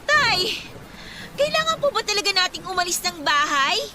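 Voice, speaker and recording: A woman's voice: very high-pitched, big pitch swings, moderate pace. A Filipino woman playing an anxious, whiny teenage daughter in a radio drama, pleading and complaining dramatically. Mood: whiny, upset. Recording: loud storm ambience behind.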